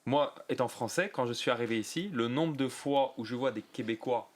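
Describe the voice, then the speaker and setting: A man's voice: mid-range voice, a little fast. A man from France with a French accent, hosting a casual podcast discussion and leading the topic.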